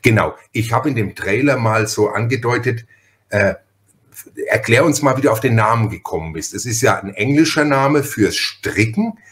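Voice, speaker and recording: A man's voice: medium pitch, lively intonation, moderate pace, fairly bright tone. A man from Franconia with a Franconian German accent, hosting a casual interview podcast in a chatty, rambling way. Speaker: podcast host.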